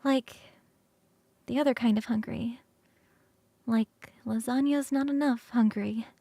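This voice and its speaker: Woman doing a bubbly succubus roommate for asmr roleplay, high girly voice, really dry close sound, rambly and teasing. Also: fairly even intonation, unhurried, gravelly, dark tone, dry recording. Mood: coaxing, sheepish.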